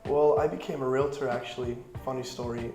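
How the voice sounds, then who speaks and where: Young man with a flat, even voice. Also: mid-range voice, a little fast, reverberant, faint music behind. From Florida, United States. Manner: relaxed, rambling, lots of "you know". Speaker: interviewee, young realtor. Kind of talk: casual interview answers.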